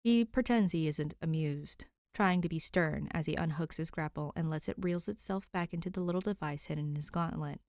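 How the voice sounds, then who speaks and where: A woman's voice: low voice, fairly quick, few pauses, a little mellow, no room sound, clean studio sound. A woman reading a scripted story aloud as an audiobook narrator. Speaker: podfic narrator.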